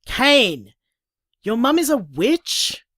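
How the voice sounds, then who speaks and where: A woman's voice: fairly high pitch, very slow, no room sound, studio quality, heavily acted. A woman playing a sweary Australian partner. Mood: wry. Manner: swearing often, animated, wry. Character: blunt, sweary young partner amused by magic. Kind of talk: audio drama, voice-message monologue.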